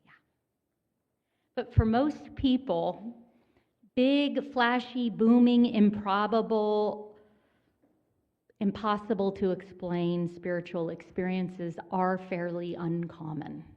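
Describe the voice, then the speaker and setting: A woman minister giving a sermon in a church, conversational and gently self-mocking in her humor. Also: mid-range voice, steady tone, slow pace, pauses often, highs cut off. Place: church sanctuary.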